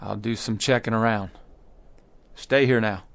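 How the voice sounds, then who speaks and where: An actor playing a desperate, stubborn pioneer husband and father in a radio drama, speaking haltingly and repeating words. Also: on the low side, fairly even intonation, creaky, fairly bright tone. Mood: earnest, resolute.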